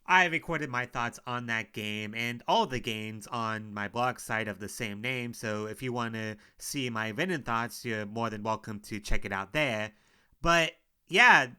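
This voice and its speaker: A young man with a stuffy, sniffly cold delivers a casual solo rant. His voice is bright and forceful, with a smooth, crisp tone. He sounds candid and frustrated, and he rambles. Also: mid-range voice. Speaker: sports podcaster. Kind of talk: casual opinion monologue.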